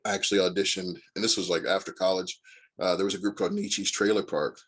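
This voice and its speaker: A man's voice: sits low, flat delivery, fast talker, fairly bright tone, breathy edge. A man in his forties from Indiana, a guest actor chatting casually and telling long rambling stories full of fillers. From Indiana, USA. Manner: rambling storyteller with many fillers. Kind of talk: casual interview chat.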